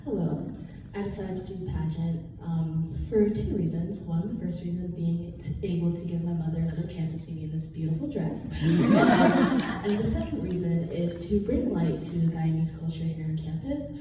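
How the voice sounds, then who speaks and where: A woman's voice: on the low side, moderate pace. A nervous young woman answering a question on stage as a pageant contestant, laughing lightly between phrases. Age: young adult. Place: large hall. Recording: echoey hall from far off, noisy.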